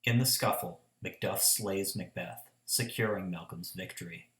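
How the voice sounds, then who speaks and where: A man's voice: low-pitched, normal speed, a bit bright. A man giving scripted narration for a radio play. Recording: echoey room sound.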